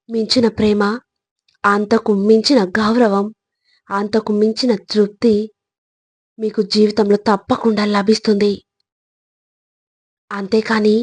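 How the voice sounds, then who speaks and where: Female motivational narrator, husky, level delivery, earnest and deliberate phrasing, dry sound. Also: medium pitch, darkish tone, no echo. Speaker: motivational speaker.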